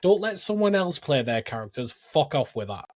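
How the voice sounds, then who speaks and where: A man's voice: fairly high pitch, sing-song, moderate pace, no echo. Blunt man, dry close sound. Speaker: podcast co-host. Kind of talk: casual film discussion.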